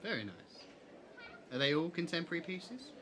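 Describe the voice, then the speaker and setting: A man's voice: fairly high pitch, sing-song, brisk, fairly bright tone, slight background noise. A man playing a candid, easygoing, teasing young man in a fan audio drama. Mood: charmed, curious.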